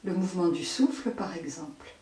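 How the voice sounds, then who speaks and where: A woman leading a guided yoga nidra meditation as an instructor, in measured short phrases with long pauses. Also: on the low side, animated, warm tone. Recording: roomy echo, distant microphone.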